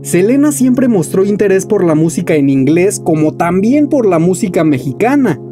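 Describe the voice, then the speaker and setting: A man in his thirties hosting a music video, reading a scripted countdown narration with lively delivery. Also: high voice. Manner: lively, announcing countdown entries. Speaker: music journalist and channel host. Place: small room at home, indoors.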